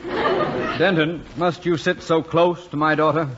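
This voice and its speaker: A man's voice: a little high, steady tone, unhurried, a crowd in the background, natural voice. An actor playing a pompous, blustering middle-aged American principal and stern father in an old radio comedy. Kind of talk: 1940s-50s American radio comedy acting. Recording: muffled old radio recording, noisy studio audience.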